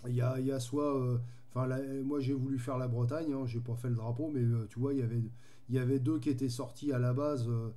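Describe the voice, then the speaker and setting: A man's voice: medium pitch, steady tone, quick pace, dark tone. A man chatting casually as a radio hobbyist, easygoing and rambling in his way of talking. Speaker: amateur radio operator.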